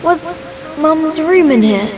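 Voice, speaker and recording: A woman's voice: sits high, expressive, slow pace, music in the background, crowd noise. A woman voicing an earnest, curious little girl in game dialogue. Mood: apologetic.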